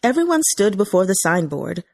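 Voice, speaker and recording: A woman's voice: medium pitch, big pitch swings, a little fast, a little mellow, no echo. A woman reading scripted fiction as an audiobook narrator.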